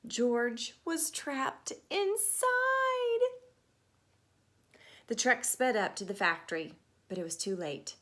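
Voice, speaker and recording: Female storytime teacher, higher-pitched and lively, unhurried delivery, speaks directly to young listeners. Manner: expressive, deliberate, asks listeners questions. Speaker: storytime teacher reading to children. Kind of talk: children's picture-book read-aloud with chatty asides.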